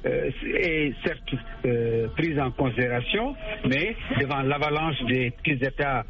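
A man of middle pitch talks through a dull, muffled line, sounding lo-fi. He explains things patiently as a radio guest, pausing often to hesitate and restart his sentences. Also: over music. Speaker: political analyst guest.

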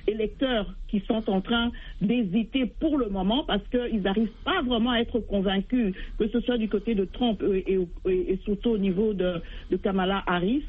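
A woman's voice: medium pitch, moderate pace, husky. A woman from Congo, calling in to a radio show and speaking earnestly, often repeating words as she searches for her phrasing. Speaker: caller, voter. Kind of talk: radio call-in commentary. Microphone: phone. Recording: tinny phone line.

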